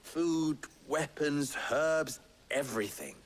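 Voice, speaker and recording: A man playing a shrewd, composed middle-aged town schemer in a fantasy game, speaking in measured, formal phrases with dry wit. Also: on the high side, animated. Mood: grave, persuasive. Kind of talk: dramatic video game acting.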